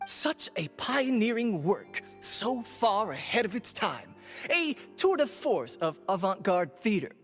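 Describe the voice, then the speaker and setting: An actor playing a pretentious intellectual critic in a video game, earnest, long-winded and academic. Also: male voice, sits high, big pitch swings, unhurried, soft background music. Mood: enthralled. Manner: pompous, academic, wordy.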